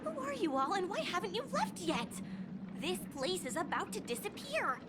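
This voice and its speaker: A woman's voice: very high-pitched, big pitch swings, moderate pace. A woman voicing an earnest, obsessive female researcher in a video game, with a dramatic, scholarly delivery. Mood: grave, urgent. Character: earnest, obsessive young female dreamscape researcher prophesying doom. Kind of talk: English video game voice acting. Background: loud game music.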